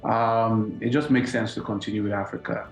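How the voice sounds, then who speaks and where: A man speaking as a financial analyst, explaining economic effects in a measured way. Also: on the low side, level tone, echoey room, soft background music, poor audio. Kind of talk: studio interview answers.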